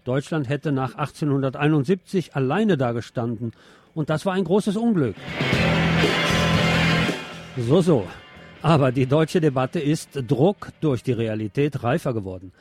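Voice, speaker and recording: A man's voice: medium pitch, expressive, measured pace, over music. A man hosting a radio programme, reading news and press commentary aloud in a wry, sardonic manner.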